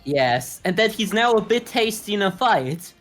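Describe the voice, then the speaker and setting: A man's voice: high voice, lively intonation, normal speed, fairly bright tone. Man, native German speaker, casual podcast discussion, faint background music. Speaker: podcast host.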